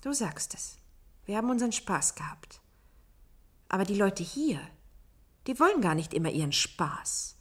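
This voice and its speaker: An actress playing an earnest, idealistic researcher in a radio play, explaining herself with conviction. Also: female voice, mid-range voice, big pitch swings, normal speed, pauses often, a bit bright, breathy edge. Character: earnest, idealistic woman absorbed in language research.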